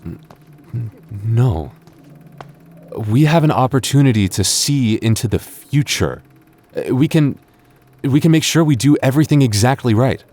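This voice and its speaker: A man playing a devoted, earnest young boyfriend in an intimate audio roleplay, speaking haltingly with stammers. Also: mid-range voice, animated, darkish tone. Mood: pleading, agitated. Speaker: voice actor.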